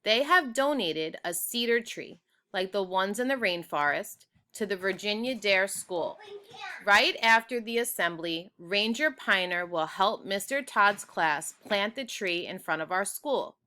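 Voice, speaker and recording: A woman's voice: medium pitch, animated, measured pace, bright, forceful tone, smooth. A woman voicing a proud, formal female school official making a ceremonious, emphatic award speech in a storybook.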